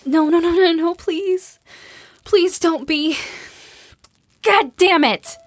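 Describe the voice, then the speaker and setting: An actress playing a hardened, grieving and self-blaming survivor in a post-apocalyptic audio drama, raw and emotional. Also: female voice, very high voice, slow pace, no echo. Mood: desperate pleading. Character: grief-stricken, hardened female survivor, self-blaming and guarded.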